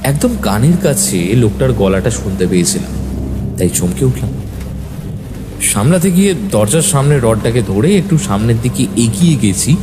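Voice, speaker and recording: A man narrating a first-person horror story in a suspenseful, expressive, dramatic way. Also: medium pitch, normal speed. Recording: faint background noise.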